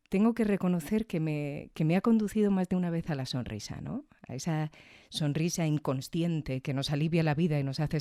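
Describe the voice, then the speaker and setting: A woman's voice: sits low, mellow, no room sound, studio quality. A woman hosting a podcast, giving reflective, conversational scripted commentary with tag questions. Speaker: podcast host.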